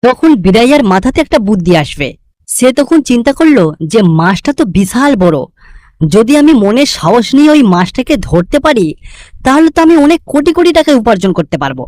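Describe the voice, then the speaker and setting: Female narrator, animated intonation, scripted fairy-tale storytelling, cartoon story. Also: fairly high pitch, brisk, dry recording.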